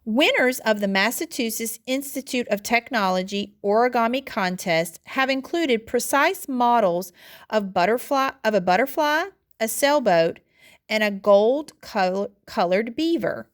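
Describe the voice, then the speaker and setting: A woman with a fairly high voice reads aloud in the style of a classroom lesson. Her delivery is instructional and deliberate, phrase by phrase, as a teacher guiding students along a text. She occasionally trips on a word and corrects it. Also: animated. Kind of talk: read-aloud lesson narration.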